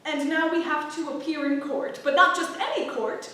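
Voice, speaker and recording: Blunt townswoman, indignant under awkward manners, high voice, period stage play, distant mic. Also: fairly even intonation, normal speed, bright, forceful tone. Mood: incredulous. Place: theatre stage, picked up from far off. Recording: very echoey, distant, faintly noisy theatre recording.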